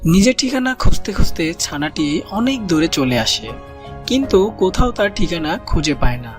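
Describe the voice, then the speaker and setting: A storyteller narrates a children's cartoon tale in a mid-pitched voice. Music plays clearly behind the scripted reading. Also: very animated, moderate pace.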